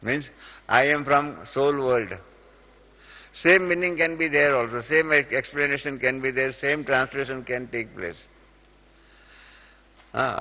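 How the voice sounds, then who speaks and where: A middle-aged man with an Indian accent, teaching a spiritual class and explaining through everyday examples, with many hesitant "uh" fillers. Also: mid-range voice. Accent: Indian English. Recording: dull lo-fi audio with long reverb in a hall.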